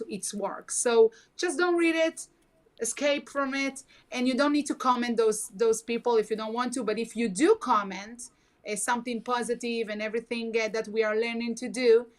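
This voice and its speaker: A woman who grew up speaking Hebrew talks earnestly over a video call. Her voice sits a little high, and her phrasing is halting and non-native. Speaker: guest. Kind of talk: casual video chat.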